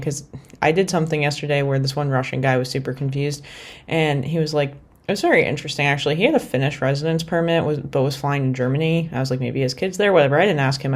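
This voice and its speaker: A woman's voice: rumbling low, quick pace. A young woman from the United States rambling casually in a travel vlog, full of fillers like "like" and "you know". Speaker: vlogger.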